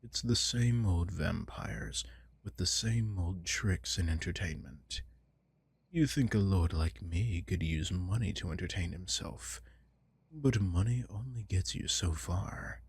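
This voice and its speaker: A man voicing an arrogant aristocratic male vampire lord in an ASMR audio roleplay, speaking in a slow, low, menacing seductive murmur. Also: slightly rough, no echo, exaggerated voice.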